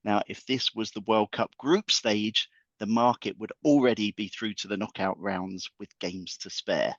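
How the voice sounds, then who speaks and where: Male guest, lowish voice, joking football banter, dull dry line. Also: fairly even intonation, unhurried, no room sound. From England. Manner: playful, heavy on football metaphors. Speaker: guest market analyst. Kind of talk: podcast chat.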